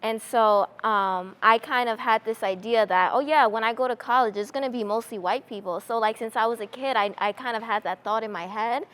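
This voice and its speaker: A young adult woman, a student panelist, speaking in an articulate, measured way. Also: on the high side, fairly bright tone. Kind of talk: casual panel conversation.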